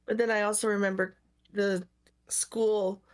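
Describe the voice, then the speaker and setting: A woman's voice: medium pitch, flat delivery, measured pace, clear, resonant tone. A woman in her fifties from Ontario, reminiscing in casual podcast chat. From Canada (Ontario). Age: 50s. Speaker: podcast co-host.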